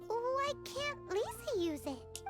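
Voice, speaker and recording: Music plays behind a very high, squeaky little fairy girl. The childish companion is cheeky and excitable, her pitch bouncing up and down, in animated video game style. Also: takes it slowly, full character voice. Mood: grumbling. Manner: speaks of self in third person, cheeky.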